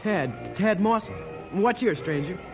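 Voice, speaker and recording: A man's voice: high voice, lively intonation, unhurried, over music. An actor playing a wild, naive teenage ranch boy with folksy country talk in a 1950s radio western. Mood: awed, naive.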